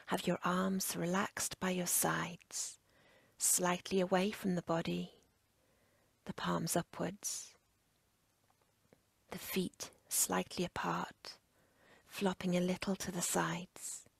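A woman with a breathy, husky near-whisper guides a relaxation meditation as a yoga nidra instructor, in short, measured, soothing phrases. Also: lowish voice, level tone, no room sound.

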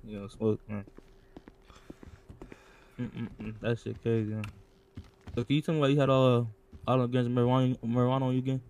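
A man's voice: medium pitch, little pitch movement, a little fast, pauses often, a little mellow, a little hiss or hum. A man improvising game roleplay as a police interrogator, talking in casual slang in a laid-back, repetitive way. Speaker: role-player acting as police interrogator.

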